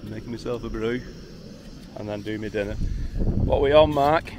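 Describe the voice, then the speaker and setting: A man's voice: on the low side, moderate pace. A man, chatting cheerfully as he films a motorbike meet-up and cook-out outdoors. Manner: chatty and cheerful, laughs often. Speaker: vlogger on a motorbike trip. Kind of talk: casual outdoor vlog narration. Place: outdoors at a lodge among parked motorbikes. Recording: noisy outdoor camera audio. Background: outdoor ambience with vehicles.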